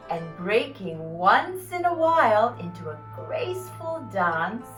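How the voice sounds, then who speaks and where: A woman narrating a dramatised story in an expressive, theatrical way. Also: fairly high pitch, slow, recorded from a distance. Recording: echoey room, off mic, music behind.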